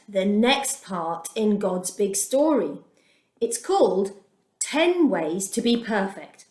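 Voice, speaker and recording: A woman reading a children's Bible story aloud as storyteller, animated and expressive. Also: mid-range voice, reverberant.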